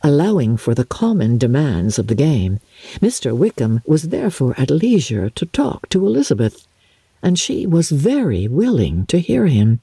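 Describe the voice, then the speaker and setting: A woman reads a classic novel as a dramatic audiobook. Her voice is husky and mellow, swooping expressively across the sentences. She phrases deliberately and theatrically, recorded close and dry. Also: extremely low pitch, big pitch swings, dry recording. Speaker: audiobook narrator, radio host and actress.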